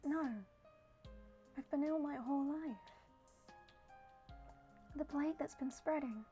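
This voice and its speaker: A woman's voice: sits high, slow pace, warm tone, faint music behind, pitched above the performer's own voice. A woman voicing a defiant, ailing young villager in a game, speaking in a blunt, questioning way.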